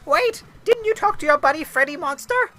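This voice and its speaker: An excitable young storybook hero, played by someone reading aloud, cheeky and quick with a wisecrack. The voice is pushed very high and bright, full of exclamation. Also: soft background music, full character voice. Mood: excited. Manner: high-pitched exclamatory delivery.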